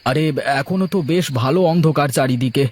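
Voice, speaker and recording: A man's voice: a little high, a little fast, darkish tone, natural voice. Man voicing an anxious village fisherman, cartoon style. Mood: puzzled, musing. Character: anxious, good-natured village fisherman husband. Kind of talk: Bengali moral-story cartoon voice acting. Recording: music behind the voice.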